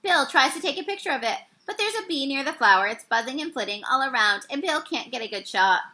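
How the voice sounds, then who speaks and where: A woman reading a children's story aloud, with expressive and carefully phrased narration. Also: high-pitched, fast talker. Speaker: storybook reader.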